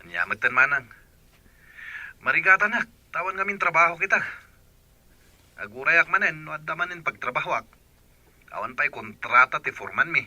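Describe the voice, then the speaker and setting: A man's voice: fairly high pitch, expressive, moderate pace, pauses often, pushed and bright, no echo, exaggerated voice. A man playing an evasive, jobless father in an Ilocano village radio drama, speaking in an emphatic way. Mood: pleading.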